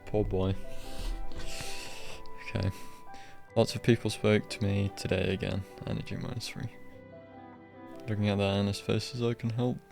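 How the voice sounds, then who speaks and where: A man casually commentating on a live game stream in a low-key, musing way, sometimes reading dialogue aloud. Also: level tone, normal speed, gravelly, pauses often. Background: soft game music.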